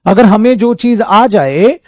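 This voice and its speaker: A man teaching an English coaching class for competitive exams, talking to students as "beta" and "mere dost" and acting out their doubts in a lively way. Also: high-pitched, slow pace, no room sound, clean studio sound.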